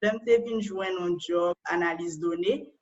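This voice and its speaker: A woman's voice: fairly low pitch, unhurried, muffled recording. A Haitian woman and data analyst giving an online presentation, explaining step by step and often ending phrases with a questioning tag.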